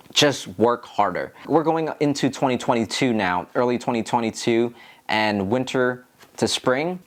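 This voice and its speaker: A man with an American accent talking casually to viewers as a tutorial host, in an earnest, encouraging, explanatory way. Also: mid-range voice. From Philadelphia, United States. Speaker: real estate agent and video host.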